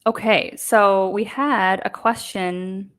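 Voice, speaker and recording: A woman's voice: mid-range voice, fairly even intonation, takes it slowly, fairly bright tone, no echo. A woman hosting a casual live online show, chatty and rambling with many fillers. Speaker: host. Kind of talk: casual livestream chat.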